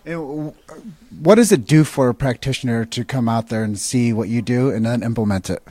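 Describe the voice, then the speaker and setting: A man's voice: mid-range voice, a little fast, mellow, dry recording. A man hosting a live interview, asking brief questions and steering toward a close. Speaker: podcast host, interviewer.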